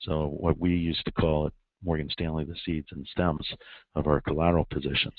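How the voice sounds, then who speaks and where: A man explaining financial markets on a conference call, in a measured way with frequent ums and uhs. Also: a bass voice, flat delivery, no echo. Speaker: repo market expert guest. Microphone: telephone. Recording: tinny phone line.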